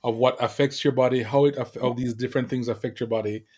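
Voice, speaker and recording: Brief: male host, mid pitch, encouraging, halting repeats while thinking. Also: steady tone, a little mellow. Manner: supportive, many short affirmations, repeats words while thinking. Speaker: podcast host. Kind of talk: casual interview talk.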